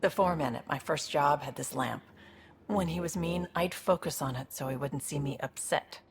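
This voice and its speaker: An actress playing a tough, streetwise woman in a video game, blunt and reflective, with a gravelly voice. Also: sits low, moderate pace, a crowd in the background. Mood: reflective, hopeful. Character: tough, streetwise woman seeking a fresh, honest start.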